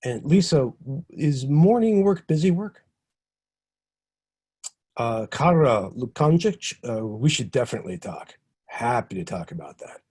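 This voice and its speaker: A man hosting an online workshop session over a video call, reading out comments in a halting way with many ums and uhs. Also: medium pitch, sing-song, a little mellow, breathy edge. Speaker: session host.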